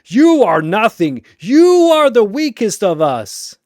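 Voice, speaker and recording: A man voicing a furious, domineering god personality, shouting imperiously in a dramatic story. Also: sits high, big pitch swings, slow pace, no room sound, clean studio sound, voice pushed up, heavily acted. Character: raging, domineering golden god personality, male, grandiose.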